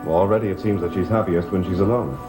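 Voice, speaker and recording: An actor playing the brooding, guarded master of a gothic manor, speaking tersely and hesitantly in a vintage soap. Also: male voice, sits low, steady tone, fast, natural voice. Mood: moody, reluctant. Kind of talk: 1960s-70s TV soap acting. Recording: echoey old TV set, loud music.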